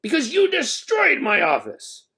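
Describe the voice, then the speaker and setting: A man's voice: very high voice, wildly swooping pitch, unhurried, sharp tone, pitched above the performer's own voice, heavily acted. A man voicing a furious, pompous school principal who snaps indignantly in a children's storybook reading. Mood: exasperated, scolding. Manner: indignant, snapping, emphatic outbursts. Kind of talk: children's storybook read-aloud.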